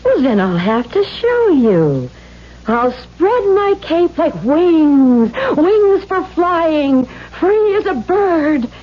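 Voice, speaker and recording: A woman's voice: high-pitched, sing-song, drawn-out words, full character voice. An actress playing a timid, innocent younger sister with a nervous, girlish manner in a 1970s radio drama. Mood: coy, girlish. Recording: muffled, faintly noisy vintage radio broadcast.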